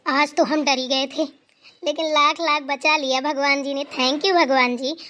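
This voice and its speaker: Lady doing a nervous eager young bahu in a cartoon, high bright voice. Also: steady tone. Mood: earnest. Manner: eager, fast, pleading. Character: young daughter-in-law, dutiful, eager, anxious.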